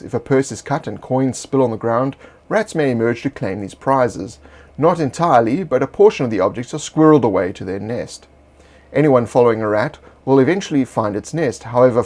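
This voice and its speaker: A man reading a monster guide for a role-playing game as steady, informative narration. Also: mid-range voice, creaky. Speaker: game designer presenting a monster supplement. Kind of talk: read-aloud explanatory narration.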